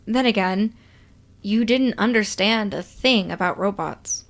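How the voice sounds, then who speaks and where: A woman reading a story aloud as expressive, scripted second-person narration. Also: medium pitch, unhurried. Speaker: podfic reader.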